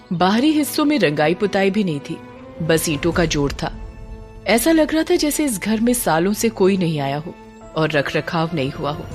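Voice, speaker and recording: A woman narrating a scripted horror story as an expressive audio series storyteller. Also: on the low side.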